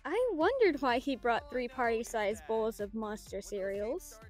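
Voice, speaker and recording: Impish partygoer with dark humour, female actor, high-pitched and animated, audio drama. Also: unhurried, soft background music. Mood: mischievous. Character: mischievous young woman with morbid humour. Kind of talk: naturalistic audio drama acting.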